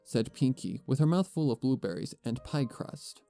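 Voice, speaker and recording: Mellow male story narrator over music. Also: mid-range voice, expressive, normal speed, gravelly.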